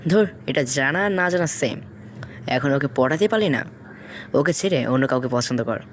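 A man's voice: mid-range voice, animated, normal speed, no room sound, natural voice. A man playing a mocking, bantering young college friend in an audio love story. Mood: teasing.

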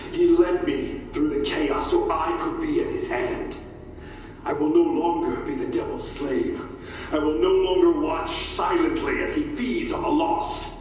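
A man's voice: on the high side, a little mellow. A man playing a fanatical, devout soldier prisoner in a game audio log, preachy, solemn and menacingly certain. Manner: preachy, solemn, slow and deliberate. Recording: echoey hall from far off, faint noise.

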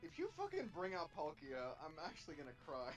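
A man with a fairly high voice banters with friends over a game. He sounds mock-indignant and swears freely, with faint game music behind him. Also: huge pitch swings, fast, dull audio. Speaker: gamer in a group battle video. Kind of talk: casual gameplay banter.

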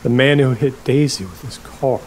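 An actor playing a stubborn, halting older man, a reclusive rental host, in an audio drama. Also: medium pitch, a little hiss or hum, natural voice. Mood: bitter, grieving. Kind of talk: naturalistic audio drama acting.

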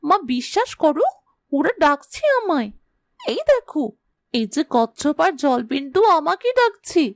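A woman as a high, sweet, animated young girl. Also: measured pace, no echo, heavily acted. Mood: pleading. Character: sweet, earnest, pleading young girl, a cherished daughter. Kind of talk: cartoon voice acting.

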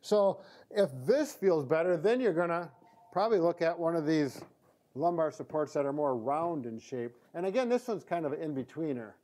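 A middle-aged American man with a slightly high voice explains things in a casual, practical way. He talks conversationally, like a therapist walking someone through a demonstration. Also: animated, moderate pace, mellow. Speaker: physical therapist presenter. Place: indoor therapy room.

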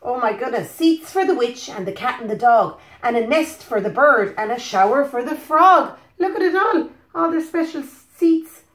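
A woman's voice: high voice, normal speed, few pauses. Irish woman reading to small children, echoey room. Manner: expressive, questioning, simple child-directed talk. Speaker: preschool storyteller. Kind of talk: animated children's story reading with asides. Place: living room, indoors. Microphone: phone or webcam at about arm's length.

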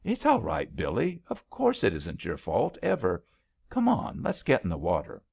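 A man's voice: lowish voice, wildly swooping pitch, normal speed, vocal fry, darkish tone, breathy edge, heavily acted. A man voicing a worldly, protective young man in an audiobook love story, wry and cautioning. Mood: soothing. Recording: slightly dull, lo-fi audiobook recording.

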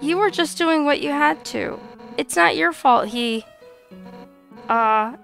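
A woman voicing a brash, warm-hearted female warrior fish creature in a blunt, informal way for a video game. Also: high-pitched, slow pace, gravelly, soft background music, pitched above the performer's own voice, heavily acted. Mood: heartfelt. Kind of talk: video game dialogue reading.

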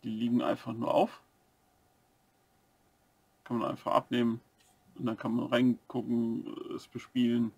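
Gravelly husky man explaining calmly. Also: mid-range voice, a little slow, long pauses, dry recording. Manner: explains set features step by step, relaxed. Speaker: co-host of a brick-building review channel. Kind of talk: casual chat reviewing a building set.

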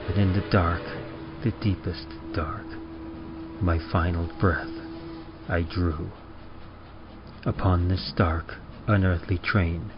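A man with a very low voice reads horror poetry like an old radio show. His delivery is grave, theatrical and unhurried. The sound is dull, with prominent music behind him. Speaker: podcast presenter reading a horror poem. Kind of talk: dramatic rhymed poetry reading in old-time radio horror manner.

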